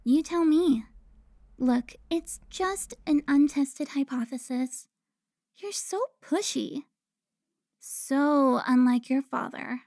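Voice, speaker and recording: A woman as teasing vampire seductress, high-pitched, unhurried drawl, theatrical, smug and playful, audio drama. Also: darkish tone, no echo, clean studio sound. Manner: taunting, drawn-out, theatrical. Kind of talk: cinematic audio roleplay acting.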